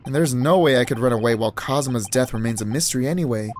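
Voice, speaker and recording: A man's voice: mid-range voice, very fast, slight background noise, lightly acted. A man voicing an earnest, nervous young male law student who stammers and restarts. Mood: anxious.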